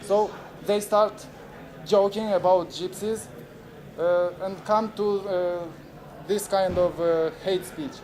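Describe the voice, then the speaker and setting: A man in his forties from Moldova, a native Romanian speaker, presenting at a conference in halting English with many fillers and restarts. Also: high voice, steady tone, a little mellow. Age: 40s. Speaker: moderator. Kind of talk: unconference talk. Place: conference room with audience. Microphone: handheld camera recording.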